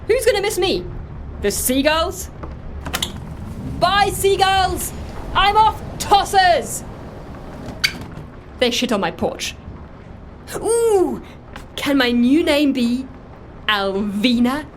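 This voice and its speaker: A woman playing an eager, quirky middle-aged islander in an audio drama, hesitant yet excitable, with deadpan humour. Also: high voice, takes it slowly, long pauses, a little hiss or hum. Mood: excited, eager. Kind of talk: audio fiction drama acting.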